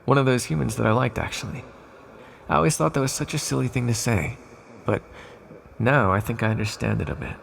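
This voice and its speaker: A man voicing an ancient, imprisoned villain in an ASMR roleplay, condescending yet lonely and flirtatious, with smug, articulate, softly teasing delivery. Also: fairly quick, slight background noise. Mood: wistful, self-conscious. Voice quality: deep, gravelly, husky. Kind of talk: ASMR roleplay monologue to the listener.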